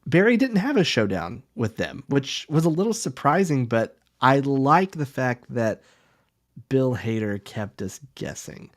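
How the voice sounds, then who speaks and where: A man with a mid-pitched voice talks as a podcast co-host. His delivery is casual and conversational, with an informal, slightly halting flow. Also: big pitch swings. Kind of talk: casual chat.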